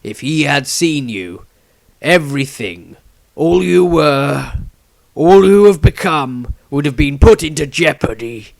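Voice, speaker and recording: A man voicing an old male wizard patriarch in a storybook, formal, deliberate and sympathetic. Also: fairly high pitch, animated, creaky, no room sound, voice pushed up, full character voice. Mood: grave.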